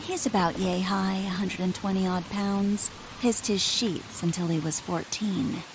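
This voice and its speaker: A woman's voice: mid-range voice, fairly even intonation, measured pace, natural voice. A woman voicing a cocky, sadistic villain in a video game, mockingly playful and condescending. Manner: taunting, condescending. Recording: loud rainy ambient noise.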